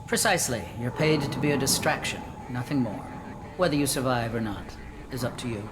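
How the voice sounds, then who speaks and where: A cold mercenary leader from a video game, played by a man. His medium-pitched voice is dismissive and dryly sardonic, each phrase clipped. Clear ambient noise sits behind the dialogue. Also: brisk, lightly acted. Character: cold, sardonic male mercenary gang boss.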